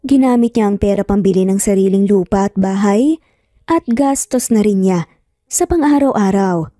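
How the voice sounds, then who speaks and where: A woman's voice: mid-range voice, warm tone, no echo, clean studio sound. A woman with a Filipino accent narrating a dramatic scripted story as a storyteller. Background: music.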